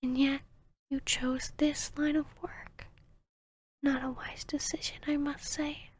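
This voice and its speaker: A woman voicing an arrogant, seductive vampiress hypnotist in a whispered ASMR roleplay, with a breathy, smug, teasing delivery. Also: high-pitched, level tone, no room sound. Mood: probing, smug. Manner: slow, smug, teasing, deliberate.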